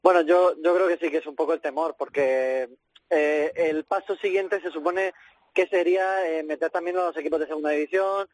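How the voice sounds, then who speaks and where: Male phone-in sports pundit, slightly high voice, hesitant phrasing. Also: fairly even intonation, moderate pace, rough recording. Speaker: radio panelist. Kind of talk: casual sports discussion. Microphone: telephone call.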